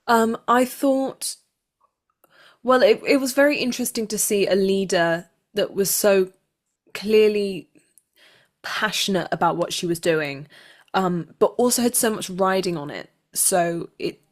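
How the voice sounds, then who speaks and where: A woman's voice: medium pitch, a little slow. A woman actor speaking conversationally as an interview guest.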